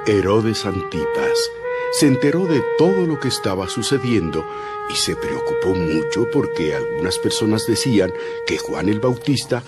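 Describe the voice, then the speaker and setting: A man narrating a dramatized audio Bible from a script, with expressive storytelling emphasis. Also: fairly low pitch, normal speed. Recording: loud background music. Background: music.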